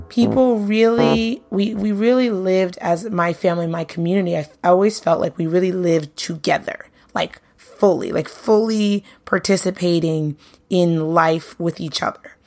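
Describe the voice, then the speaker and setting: A woman's voice: medium pitch, a little mellow. A young adult woman from Brooklyn, hosting her own podcast in a chatty, upbeat, casual way, full of "you know"s. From Brooklyn, New York. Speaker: podcast host, singer and bandleader. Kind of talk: casual solo podcast monologue.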